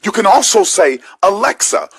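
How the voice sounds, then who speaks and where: A man delivers a scripted radio promo as an announcer. His voice is high-pitched and husky. The sound is dry, with no room around it. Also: expressive, normal speed, dry recording. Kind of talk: promotional ad read.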